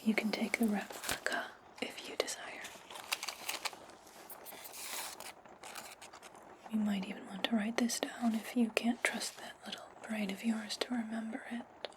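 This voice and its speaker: A woman playing an imperious, scheming witch in a breathy, condescending whisper for an ASMR fantasy roleplay. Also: fairly high pitch, level tone, close to an everyday voice. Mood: condescending, instructing. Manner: slow, deliberate, condescending. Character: imperious, scheming witch giving orders to her servant.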